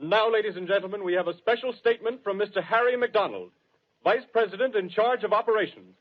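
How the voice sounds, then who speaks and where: An actor playing a formal studio announcer reading news bulletins in 1930s radio drama style. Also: male voice, sits high, fast talker. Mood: urgent, formal. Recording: muffled old 1930s radio broadcast.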